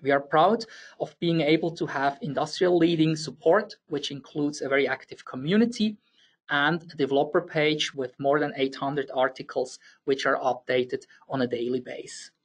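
Swiss German male presenter, gravelly husky voice, patient technical explainer. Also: a little high. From Switzerland. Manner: explanatory, slightly halting with fillers and restarts. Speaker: webinar presenter.

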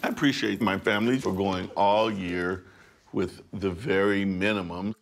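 A man's voice: sits low, steady tone, normal speed. A middle-aged man talking in a reality TV interview, proud and chuckling about saving money. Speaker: reality show subject, frugal father. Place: home room, indoors. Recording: background music.

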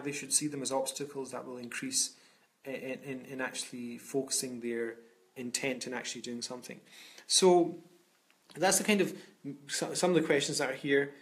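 A man, an Islamic scholar speaking earnestly from home over a video call, often repeating words as he restarts. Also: mid-range voice. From Scotland. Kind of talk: reflective talk on a video call. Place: home room indoors. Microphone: webcam on a video call.

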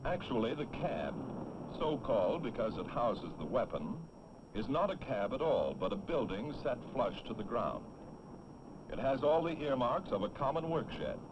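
A man's voice: mid-range voice, sing-song, moderate pace. A man in his forties with an American accent, hosting and narrating a scripted 1950s documentary. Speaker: on-camera host and narrator. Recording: muffled, noisy 1950s film soundtrack.

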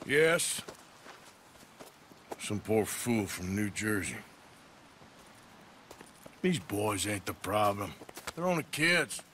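A man's voice: lowish voice, very animated, slow, long pauses, a little hiss or hum, heavily acted. A weary, blunt outlaw gunman. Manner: gruff, blunt, doubtful. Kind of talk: video game cutscene acting. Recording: game audio with loud score.

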